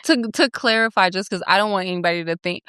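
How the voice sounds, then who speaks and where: A woman's voice: medium pitch, quick pace, sharp tone, clean tone, no echo, clean studio sound. A young woman co-hosting a faith podcast, explaining her views earnestly and asking her co-host questions. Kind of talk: faith conversation.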